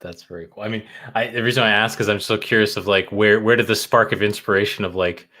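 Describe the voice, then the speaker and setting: A man's voice: fairly low pitch, fairly even intonation, quick pace, sharp tone. A man hosting an interview, chatty and enthusiastic, asking long rambling questions. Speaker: podcast host, interviewer. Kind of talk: casual interview conversation.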